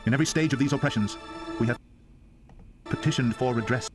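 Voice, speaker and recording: A man with a mid-range voice delivers a formal, impassioned dramatic reading. His oratory is solemn and stately, and music plays clearly behind him. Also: mid-range voice, fast. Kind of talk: dramatic reading of a historical declaration.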